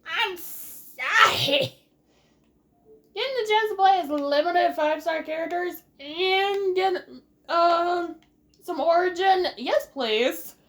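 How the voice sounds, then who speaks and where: A woman's voice: extremely high pitch, takes it slowly, fairly bright tone. A woman doing casual Let's Play commentary as host, playful and rambling with sing-song exclamations. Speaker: gaming video host.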